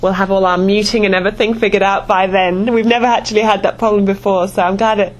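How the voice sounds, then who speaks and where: A woman's voice: medium pitch, steady tone, fast talker, rough recording. A woman with a mid-range voice hosts a conference call. Her manner is informal, friendly and gracious as she speaks to those taking part.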